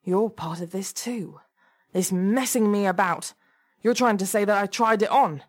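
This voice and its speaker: A woman's voice: medium pitch, a little slow, close to an everyday voice. Audiobook role: combative, paranoid male office manager played by a woman, raised and emphatic, demanding answers. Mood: exasperated.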